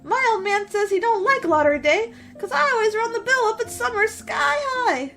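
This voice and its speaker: Very shrill, chatty country housewife. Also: female voice, animated, moderate pace, fairly bright tone, soft background music, exaggerated voice. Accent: rural American. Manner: gossipy, folksy. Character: chatty, folksy village housewife at a gathering. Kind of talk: radio drama acting.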